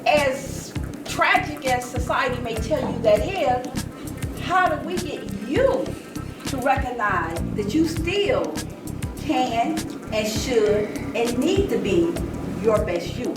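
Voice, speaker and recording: A woman's voice: high voice, sing-song, slow pace. A woman moderating a panel debate, insistent and probing, pressing her point and putting questions. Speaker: discussion moderator. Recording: echoey, distant mic, loud music.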